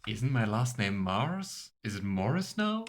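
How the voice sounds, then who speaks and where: A man's voice: on the low side, big pitch swings, unhurried, slight background noise. A man casually commenting on a game he is playing, joking and rambling. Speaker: let's play host. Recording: with game music behind.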